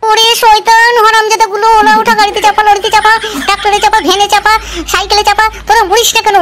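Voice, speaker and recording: Fiery village sister-in-law for cartoon comedy, acted by a woman: piercingly high, fast-talking, cursing. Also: flat delivery, a bit bright. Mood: furious scolding. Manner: shrill rapid scolding. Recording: clearly noisy recording.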